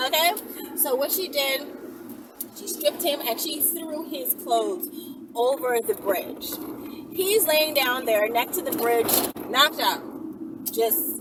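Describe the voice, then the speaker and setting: A woman chatting casually as a storytelling vlogger, with slangy, emphatic phrasing and lots of repetition for effect. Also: high voice. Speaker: vlogger telling stories to followers. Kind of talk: casual storytelling vlog chat. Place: out and about, on the move in town. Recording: distant mic, noisy vehicle ambience.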